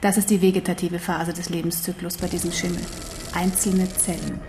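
Low-voiced, precise female teacher played by an actress. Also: flat delivery, fairly quick, darkish tone, slight background noise, lightly acted. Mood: instructing. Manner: didactic, precise, instructing. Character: female non-human teacher, learned, patient, firm. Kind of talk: German radio drama acting.

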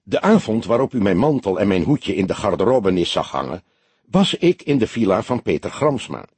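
A man voicing a woman civil servant under questioning in an audiobook, soft, careful and halting, defending herself. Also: lowish voice, very animated, breathy edge, no echo, exaggerated voice. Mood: regretful. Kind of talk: audiobook character dialogue.

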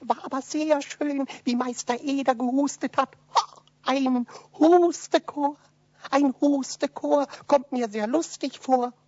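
A cheeky little kobold boy speaks high and slow in a dry, dull old radio play recording. The delivery is playful and full of swoops, drawing words out with relish. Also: steady tone, darkish tone, no room sound. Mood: cheerful, relieved. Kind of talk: German children's radio play.

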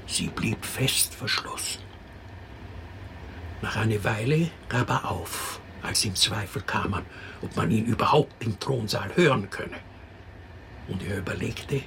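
An actor playing a storyteller who narrates a fairy tale expressively in a radio drama. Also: male voice, on the low side, a little slow, gravelly, long pauses, fairly bright tone, slight background noise. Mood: storytelling.

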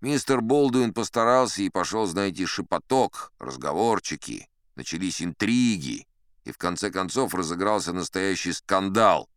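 Deep, lively-voiced man spinning a yarn. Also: moderate pace, clear, resonant tone, no echo, studio quality. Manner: expressive storyteller, ironic, confiding asides. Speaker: narrator and lead actor. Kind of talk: audio drama narration as a boastful old sea captain. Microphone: close studio mic.

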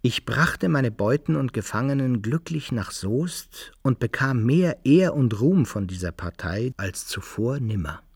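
An actor playing a proud soldier adventurer, narrating his own exploits in a radio play with a gravelly, husky voice. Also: mid-range voice, no room sound, studio quality, heavily acted. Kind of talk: first-person literary narration in a radio play.

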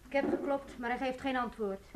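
An actress plays an obliging woman of the household in a radio drama. Her voice is fairly high. Heavy noise and clicks cover the old recording. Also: brisk, lightly acted. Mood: polite. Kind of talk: Dutch radio play acting.

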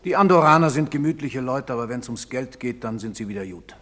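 A bitter, stubborn drinking father acted by a man in a stage drama. His medium-pitched voice is curt and rings through a reverberant hall. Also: animated, fairly quick, fairly bright tone, slight background noise, lightly acted. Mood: bitter, loud. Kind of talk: theatrical stage acting.

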